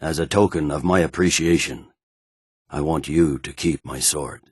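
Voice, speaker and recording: An actor voicing a stern, battle-hardened Imperial general in a fantasy video game, with a curt and commanding manner. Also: male voice, rumbling low, moderate pace, a little mellow, husky, no echo, studio quality. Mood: resigned, dignified. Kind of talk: video game character dialogue.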